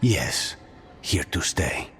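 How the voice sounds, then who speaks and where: An actor playing a grave, brooding male assassin in a video game, measured and solemn. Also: music in the background. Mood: somber. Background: game score.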